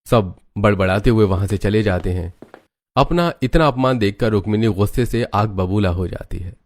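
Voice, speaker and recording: A man's voice: lowish voice, animated, normal speed, no echo. A man narrating a scripted story as its storyteller.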